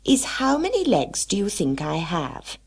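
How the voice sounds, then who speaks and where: A sly, whimsical storybook cat, acted by a woman. He teases nonchalantly, his lilting pitch raised above the reader's own, with some creak and huskiness. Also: huge pitch swings, measured pace, exaggerated voice. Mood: teasing. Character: sly, whimsical grinning male Cheshire Cat.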